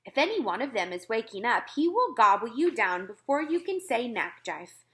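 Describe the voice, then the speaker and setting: A woman's voice: fairly high pitch, big pitch swings, normal speed, a bit bright, pitched above the performer's own voice. A woman voicing a big, friendly male giant in a children's storybook, speaking emphatically with quaint, muddled grammar. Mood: cheerful warning. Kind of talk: children's storybook read-aloud.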